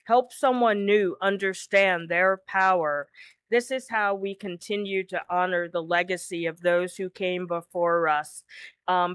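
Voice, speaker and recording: A woman closing her remarks at a chapter dinner, speaking deliberately and earnestly. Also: fairly low pitch, fairly bright tone, clean tone.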